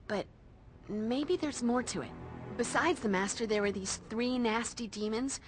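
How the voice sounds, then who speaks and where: An actress playing a bossy, sarcastic teenage demon fighter with an American accent in a video game, blunt and slangy. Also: female voice, mid-range voice, close to an everyday voice. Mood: wry, thoughtful.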